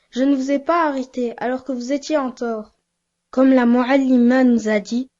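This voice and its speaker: A woman's voice: fairly high pitch, darkish tone, muffled recording, lightly acted. A woman voicing an earnest, conscientious schoolboy in an educational children's cartoon.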